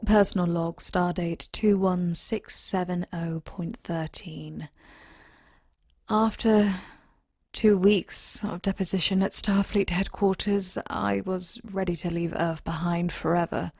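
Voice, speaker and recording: Audio drama starship lieutenant, played by a woman: husky, close and dry, reserved, thoughtful, anxious underneath. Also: on the low side, steady tone, slow, a little mellow, no room sound, heavily acted. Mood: reflective. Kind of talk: audio drama acting, personal log and dialogue.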